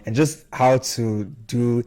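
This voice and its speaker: A man's voice: mid-range voice, steady tone, slow pace, mellow, smooth. A man hosting a podcast in casual chat, informally explaining his reasoning at length. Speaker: podcast host.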